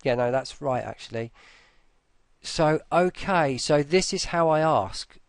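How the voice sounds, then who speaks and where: Guy doing a casual software walkthrough, bit gravelly, dry close sound, thinks out loud. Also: a little high, unhurried, no echo. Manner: informal, thinking aloud as they go. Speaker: software tutorial presenter. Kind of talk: casual screen-recorded walkthrough.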